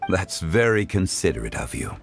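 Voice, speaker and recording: A man voicing a composed, courteous consultant in a video game, measured and formal. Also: low voice, big pitch swings, gravelly, faint music behind, full character voice.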